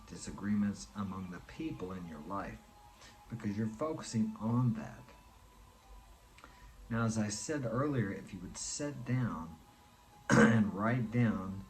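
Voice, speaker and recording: A man's voice: on the low side, darkish tone, rough recording. A man in his sixties giving an earnest, preachy motivational talk, repeating his points for emphasis. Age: 60s. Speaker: motivational speaker. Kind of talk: motivational monologue.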